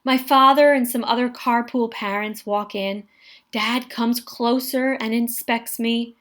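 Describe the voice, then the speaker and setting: A woman reading a children's picture book aloud in an animated, dramatic way, drawing out words for effect. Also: fairly high pitch. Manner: expressive, dramatic delivery with drawn-out words. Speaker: story reader.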